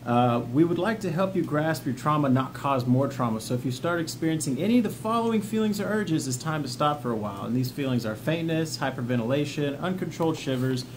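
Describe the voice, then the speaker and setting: A man's voice: fairly high pitch, lively intonation, brisk, few pauses. A man speaking in a casual, plain-spoken, encouraging way, giving informal instruction partly read from notes, as the host of a vlog. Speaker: vlog host, peer mentor for trauma coping. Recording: clearly noisy ambient background.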